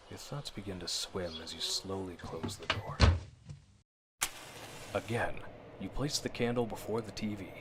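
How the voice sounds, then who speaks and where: A man giving a dramatic, deliberate, suspenseful narration of a scripted horror story in the manner of old radio drama, addressing the listener directly. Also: sits low, noisy background.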